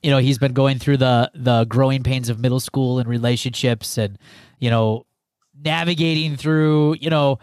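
A man's voice: mid-range voice, fairly even intonation, normal speed, darkish tone, clean tone, no room sound, clean studio sound. A man hosting a podcast, talking casually and rambling with many restarts. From United States. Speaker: podcast host.

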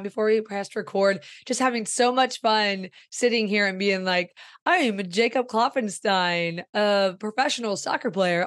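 A woman in her thirties talks in a casual, effusive podcast style, her voice raspy and hoarse because she is losing it. The sound is dry and close, with little room around it. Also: mid-range voice, no echo. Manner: warm, effusive and upbeat. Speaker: podcast host and growth coach.

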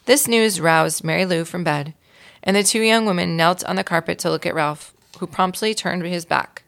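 A woman reading a children's story aloud in an expressive storytelling style, with a creaky voice. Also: on the low side, normal speed. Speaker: volunteer reader of a children's book.

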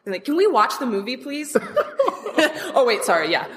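A woman talking casually as a guest on a podcast, loud and outspoken, often breaking into laughter. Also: fairly high pitch, very animated, moderate pace, a little hiss or hum. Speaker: podcast guest and film reviewer.

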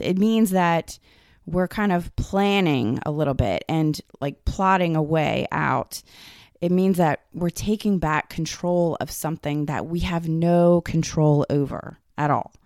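A woman hosting her own podcast, talking loosely and conversationally while hoarse and a little raspy from a cold. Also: fairly low pitch, a little mellow, no room sound, clean studio sound. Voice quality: slightly raspy. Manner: loose, conversational, many "like" and "kind of". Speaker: podcast host. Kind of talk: solo podcast talk.

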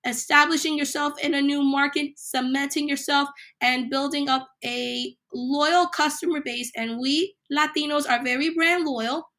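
A woman hosting a solo podcast, a little hoarse from a cold, reading numbered points with emphasis. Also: sits high, sharp tone. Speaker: podcast host.

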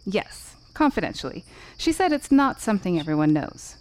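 An actress playing a brisk, curious, candid lawyer in a naturalistic fiction podcast. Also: female voice, medium pitch, sing-song, darkish tone, close to an everyday voice. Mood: businesslike. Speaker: attorney.

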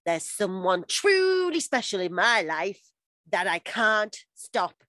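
A woman voicing a lovesick, earnest adolescent boy reading out a love letter in a drawn-out, overwrought way. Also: medium pitch, big pitch swings, a bit bright, no room sound, studio quality.

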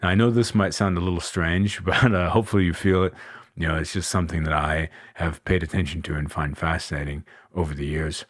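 Deep-voiced man musing calmly. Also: dry recording, clean studio sound. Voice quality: deep chest voice. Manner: unhurried, musing, many fillers. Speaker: podcast host and coach. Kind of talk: reflective solo podcast talk.

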